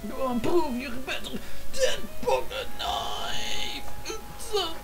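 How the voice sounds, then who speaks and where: Lively goofy woman narrating a game. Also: high-pitched, takes it slowly, music in the background. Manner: goofy, talks to her tools in mock drama. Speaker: gaming YouTuber. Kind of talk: comedic let's play commentary.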